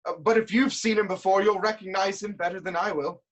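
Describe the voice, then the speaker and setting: A woman playing a proud, stubborn king of ancient Thebes, declaiming deliberately in a theatrical reading. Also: mid-range voice, steady tone, natural voice. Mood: questioning. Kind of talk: theatrical staged reading of Greek tragedy.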